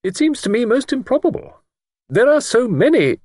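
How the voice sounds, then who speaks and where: A man's voice: extremely high pitch, very animated, normal speed, darkish tone, husky, no room sound, clean studio sound, voice pushed up, full character voice. A man voicing a genteel, hesitant and anxious elderly woman in an audiobook, speaking in prim, careful, formal phrases. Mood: troubled, firm. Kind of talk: audiobook character voice.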